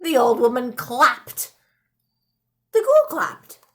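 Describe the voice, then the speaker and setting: A woman reading a children's story aloud in a dramatic, expressive style with deliberate pauses. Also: on the high side. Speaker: storybook reader. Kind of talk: animated children's read-aloud.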